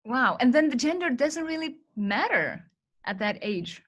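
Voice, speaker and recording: A woman's voice: high-pitched, sing-song, a little slow, a bit bright. A woman interviewing a guest, asking friendly questions.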